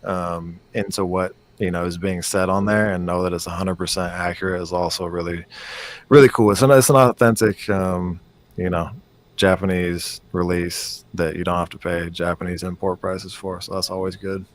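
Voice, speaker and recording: Low-voiced man chatting loosely. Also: fairly even intonation, normal speed. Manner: rambling, many fillers and restarts. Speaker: guest musician. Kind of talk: casual chat.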